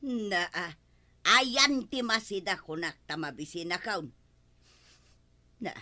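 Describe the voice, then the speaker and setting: An actress with an Ilocano Filipino accent playing a nagging, suspicious village wife in a radio comedy drama. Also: female voice, mid-range voice, expressive, slow, pushed and bright, full character voice. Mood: scolding.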